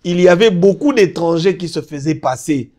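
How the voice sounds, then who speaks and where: A man's voice: a little high, animated, moderate pace, darkish tone. A Congolese man with a Congolese French accent, a talk show guest explaining a law emphatically and mixing in Lingala. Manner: mixes French and Lingala, emphatic, repeats fillers when searching for words. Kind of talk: political talk show discussion.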